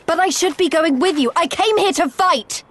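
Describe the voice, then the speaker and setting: An impulsive young heroine from a fantasy video game. Her high voice is earnest and direct, with a headstrong streak. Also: normal speed. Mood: indignant. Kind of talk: video game cutscene acting.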